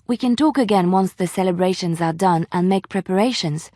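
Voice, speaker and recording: An actress voicing a gentle, devout young woman adventurer in a video game, soft-spoken and earnest, by turns wistful and playful. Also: fairly low pitch, brisk, no echo, studio quality, close to an everyday voice. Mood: earnest, hopeful. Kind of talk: video game voice acting, intimate dialogue.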